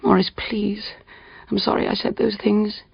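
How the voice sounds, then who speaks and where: A male reader playing a jilted woman, desperate and bitter, in an audiobook tale. The delivery is breathy and intense with a wounded edge, recorded dry and a little dull. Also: sits high, little pitch movement, a little slow, no echo, voice pushed up, heavily acted. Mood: pleading.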